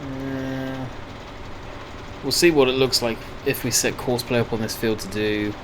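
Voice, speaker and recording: A man with a slightly croaky voice giving rambling, chatty gameplay commentary, often correcting himself mid-sentence. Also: mid-range voice, fairly even intonation, unhurried, background noise. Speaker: gaming video host.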